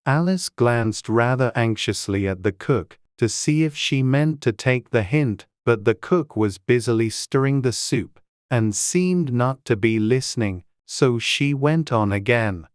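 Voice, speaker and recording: A man's voice: medium pitch, a little slow, few pauses, clean tone, no echo, studio quality. A man reading scripted storybook narration as an audiobook narrator.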